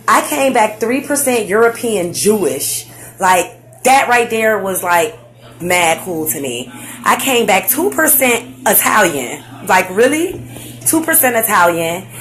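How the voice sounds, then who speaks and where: An excited young woman talks casually into her home computer mic, full of slang and filler words. Her voice sits at an ordinary middle pitch. Faint music plays in the background. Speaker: vlogger. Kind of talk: casual facebook live chat.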